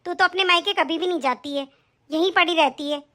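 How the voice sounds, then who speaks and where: Scolding older matriarch, shrill and high, played by a woman. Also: brisk, pushed and bright. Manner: scolding, indignant. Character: stern, scolding old mother-in-law. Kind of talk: family skit acting.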